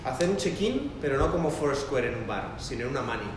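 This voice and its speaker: A man's voice: medium pitch, big pitch swings, a little fast, noisy background. Rambling man lecturing in an echoey room. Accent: mixed, part Argentine-sounding Spanish. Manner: loose, rambling, with many restarts. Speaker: workshop instructor. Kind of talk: classroom lecture.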